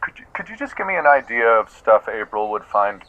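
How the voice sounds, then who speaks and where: Actor as an animated, self-important struggling actor, slightly muffled. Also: male voice, medium pitch, a little fast, a little hiss or hum, natural voice. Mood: coaxing, intense. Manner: earnest, theatrical, self-important. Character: eager, self-serious out-of-work male actor. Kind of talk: naturalistic comedic audio play acting.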